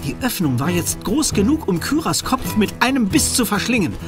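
Male narrator, fairly high voice, dramatic suspense storytelling for a radio play, clear music underneath. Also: big pitch swings, moderate pace. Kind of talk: scripted suspense narration for children's audio drama.

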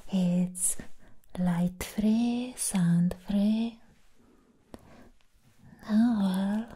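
A woman voicing a sweet, doting vampire girl in an ASMR roleplay, soothing the listener toward sleep in soft, breathy whispers. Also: mid-range voice. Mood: playful, caring. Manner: slow, tender, soothing, repeating words.

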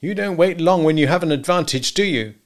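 A male narrator plays a wealthy husband who is easily provoked. His voice rides high and emphatic, full of disgust and accusation, as in a dramatised storybook. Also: expressive, brisk. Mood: angry, accusing.

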